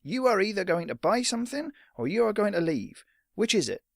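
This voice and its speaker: A man's voice: high-pitched, sing-song, a little fast, vocal fry, no room sound, clean studio sound, voice pushed up, full character voice. A man voicing a small, stout, suspicious shopkeeper who talks curtly. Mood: stern. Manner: curt and suspicious.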